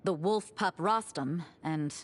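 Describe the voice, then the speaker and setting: An actress playing a composed, thoughtful young woman in a video game, recounting history in a measured, reflective manner. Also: fairly low pitch. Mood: wry storytelling. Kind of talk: video game dialogue, storytelling. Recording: game music behind the voice.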